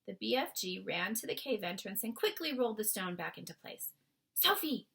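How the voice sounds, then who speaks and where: A woman reading a children's story aloud as expressive scripted narration. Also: medium pitch, brisk, vocal fry, breathy edge. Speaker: storybook reader.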